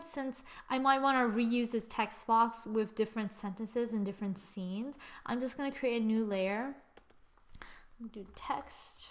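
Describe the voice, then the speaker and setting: Chatty woman thinking aloud. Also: fairly high pitch. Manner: chatty, thinking aloud, self-correcting with little exclamations. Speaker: tutorial host. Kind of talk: screen-recorded software tutorial.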